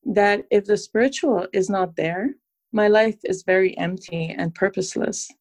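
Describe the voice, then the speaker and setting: Earnest woman with a creaky voice. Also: mid-range voice, moderate pace, darkish tone. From Transylvania, Romania. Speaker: podcast guest. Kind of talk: reflective interview talk.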